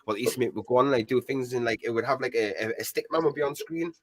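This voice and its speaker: Fast-talking man with a thick accent. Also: mid-range voice. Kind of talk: casual chat.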